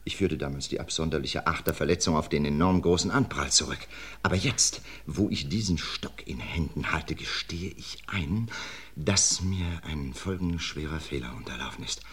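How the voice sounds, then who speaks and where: A husky, low-voiced police doctor. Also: male voice, normal speed, fairly bright tone, heavily acted. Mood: earnest. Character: older male police doctor, methodical and self-critical. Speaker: police doctor in a radio play. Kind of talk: vintage radio drama acting.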